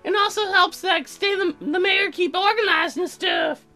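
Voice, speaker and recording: A man puts on a bubbly airheaded young woman from a game, pitched very high. The voice is bright and forceful, swooping around as she drags out her words and leans on "like". Light music plays behind. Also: exaggerated voice. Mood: bubbly, friendly. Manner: airheaded, drawn-out words with many likes.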